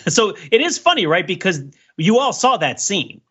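A man's voice: sits high, big pitch swings, moderate pace, bright, forceful tone, husky, lo-fi sound. A man co-hosting a podcast, casually discussing films with jokey mock-serious analysis. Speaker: podcast co-host.

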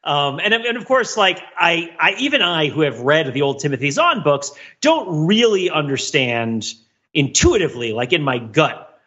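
Male podcast co-host, fairly high and animated, thinks out loud in loops, slightly lo-fi sound. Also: moderate pace. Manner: rambling, analytical, self-interrupting. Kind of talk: casual pop-culture discussion.

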